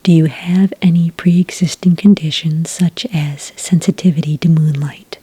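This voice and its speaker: Whispering woman, very breathy ASMR clerk. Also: low voice, fairly even intonation, poor audio. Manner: gentle, unhurried customer-service explaining. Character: friendly female registration clerk for werewolf hunters. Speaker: ASMR roleplayer as a licensing associate. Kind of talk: soft-spoken ASMR roleplay.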